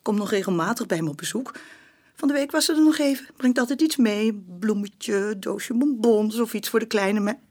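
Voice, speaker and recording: A woman playing a guarded, uneasy mother in a radio drama, slightly husky and hesitant. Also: on the high side, normal speed, a little mellow, lightly acted. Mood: fond. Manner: hesitant, defensive. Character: guarded, uneasy mother, a former sex worker.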